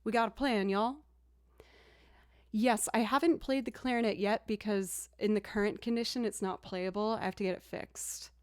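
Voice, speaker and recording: A young woman with a low alto voice, chatting casually on a live stream and thanking viewers as she talks. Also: fairly even intonation, normal speed, no room sound, studio quality. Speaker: streamer and musician.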